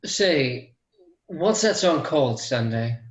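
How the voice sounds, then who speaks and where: A man's voice: a little high, expressive, takes it slowly. A man playing an earnest, reserved traveller in a western table read, speaking plainly. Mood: curious. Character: earnest, polite, reserved traveller riding with a posse.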